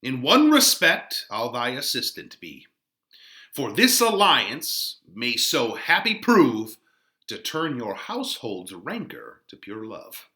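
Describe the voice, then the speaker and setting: A man playing an old friar who chides and cautions in a theatrical, emphatic Shakespearean reading with drawn-out phrasing. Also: mid-range voice, huge pitch swings, sharp tone, echoey room, exaggerated voice. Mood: knowing, conciliatory.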